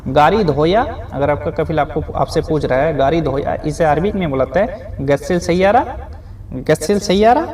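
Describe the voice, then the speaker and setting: A man's voice: on the high side, normal speed, darkish tone, a little hiss or hum. A man teaching spoken phrases in a beginner lesson, saying each phrase, repeating it and explaining it word by word. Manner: addresses viewers as friends, frequent "okay". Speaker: Arabic teacher.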